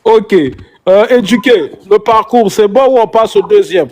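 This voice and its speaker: High-voiced man moderating a debate. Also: unhurried. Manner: directive, passes the floor, mixes in Lingala words. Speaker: panel moderator.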